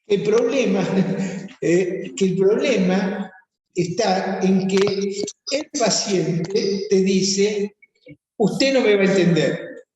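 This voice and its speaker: A speaker with an Argentine accent reflects on psychoanalytic theory in a calm, thoughtful way. The voice is dark and mellow, and it is heard in a hall with heavy echo and a long reverb tail. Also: mid-range voice, extremely slow, a little hiss or hum. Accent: Argentine Spanish. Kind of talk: seminar discussion.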